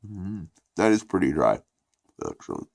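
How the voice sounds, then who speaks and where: Very deep gravelly congested man. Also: sing-song, normal speed, dry recording. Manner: profane, rambling, laid-back. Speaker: product reviewer. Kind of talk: casual rambling review.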